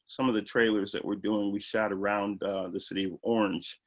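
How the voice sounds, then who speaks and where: Earnest man with a low voice. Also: steady tone, moderate pace. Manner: earnest, enthusiastic explaining with many fillers. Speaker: filmmaker guest. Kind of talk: interview answers.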